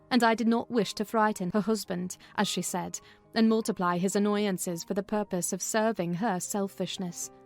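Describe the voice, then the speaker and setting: A mid-pitched female narrator acted by a woman plays a sensible household servant. She tells a period novel with composure, over faint music. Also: darkish tone, natural voice. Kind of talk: scripted narration of a classic novel.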